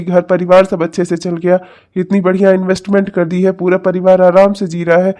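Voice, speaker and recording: Man playing a high-voiced, placating older father-in-law. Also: close to an everyday voice. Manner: reassuring, gently reasoning. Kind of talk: melodramatic family story acting.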